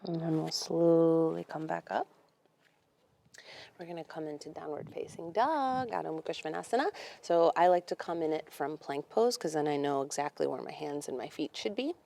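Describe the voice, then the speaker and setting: A young woman guiding a yoga class in a gentle, unhurried, conversational way. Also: low voice, creaky. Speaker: yoga instructor. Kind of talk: guided yoga class instruction.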